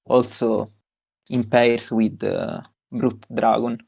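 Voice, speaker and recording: Man with an Italian accent, gravelly. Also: mid-range voice, flat delivery, darkish tone, no echo, lo-fi sound. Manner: halting, many "uh" fillers and restarts. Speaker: tournament winner. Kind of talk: post-win stream interview.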